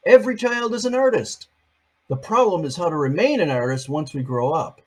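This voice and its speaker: A man's voice: on the high side, very animated, brisk, husky. An older man reading a picture book aloud to his grandchildren, adding chatty, playful asides between pages.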